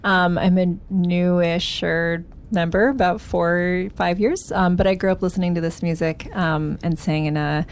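A woman's voice: fairly low pitch, fairly even intonation, normal speed, hardly any pauses. A woman from Los Angeles, once a radio host, chatty and friendly as she introduces herself. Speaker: singer, former radio host. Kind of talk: casual self-introduction.

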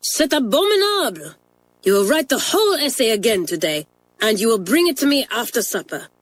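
A woman's voice: on the high side, lively intonation, normal speed, pitched above the performer's own voice. A woman playing a furious schoolmistress. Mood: raging. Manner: shouting, imperious, indignant. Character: fiery French schoolmistress, middle-aged, quick-tempered. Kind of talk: audiobook character voice.

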